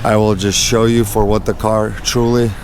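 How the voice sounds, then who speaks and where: A man with a lowish, slightly raspy voice that sounds a bit under the weather presents a casual car walk-around. His delivery is earnest and unhurried, with very little rise and fall. Some outdoor vehicle and street noise sits behind him. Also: level tone. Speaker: host of a car channel.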